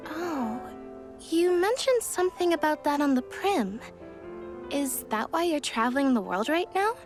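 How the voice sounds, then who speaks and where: An actress playing a gentle, devoted young village woman in a fantasy game, soft and dreamy with lingering pauses. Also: high voice, sing-song, soft background music. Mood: curious. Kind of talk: fantasy video game voice acting.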